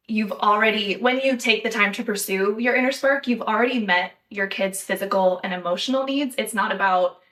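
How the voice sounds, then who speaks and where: A young woman from the United States hosting a podcast, talking in a measured, thoughtful way with light laughs. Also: on the high side, fairly bright tone, distant mic. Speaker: podcast host. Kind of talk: casual podcast chat.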